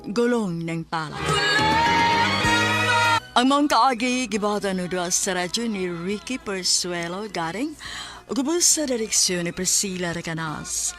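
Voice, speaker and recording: Slow-paced Cebuano woman radio announcer. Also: medium pitch, fairly bright tone. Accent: Cebuano Filipino.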